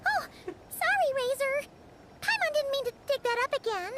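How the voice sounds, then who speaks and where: Childlike fairy sidekick for a game: very high, animated, chatty, cheerful. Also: female voice, moderate pace, noisy background. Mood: apologetic. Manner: refers to self in third person, exclamatory. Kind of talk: video game voice acting. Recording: loud game music behind.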